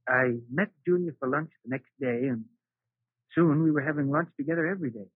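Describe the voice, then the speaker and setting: Male lead an unhappy, lovestruck husband. Earnest, slightly high voice, dry thin vintage radio sound. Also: a little fast, husky, no echo. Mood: reflective. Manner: earnest, pleading, dramatic. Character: lovesick, regretful, unhappily married man recounting his past. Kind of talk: 1940s radio drama acting. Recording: muffled, remastered old radio recording.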